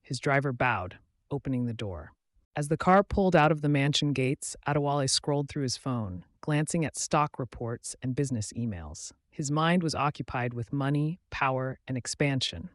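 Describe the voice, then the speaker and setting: Male storyteller for scripted folktale narration, mid-range pitch, dry close recording. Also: lively intonation, normal speed, no echo.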